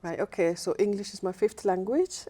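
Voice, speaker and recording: A woman from Punjab in Pakistan, whose first language is Punjabi, speaking as a podcast guest in a careful, halting way with many fillers. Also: mid-range voice, mellow, husky. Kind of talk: casual conversation.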